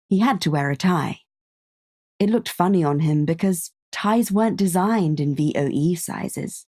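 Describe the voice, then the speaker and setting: A woman reads a scripted story with a measured, understated delivery. Her voice is low and soft-toned, and she takes her time. It is recorded close and dry. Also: no room sound. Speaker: narrator.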